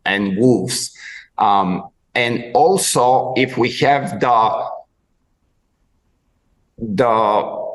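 A man with a Bulgarian accent hosting a podcast interview, talking haltingly with many restarts as he searches for words. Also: mid-range voice, low-quality recording. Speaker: podcast host, dog trainer. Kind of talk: conversational interview.

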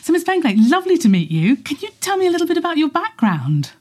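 A woman interviewer with a mellow, warm tone and a very lively, swooping intonation. She introduces the episode and encourages her guest with bright interest. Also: extremely high pitch, quick pace. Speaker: podcast interviewer. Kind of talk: podcast interview.

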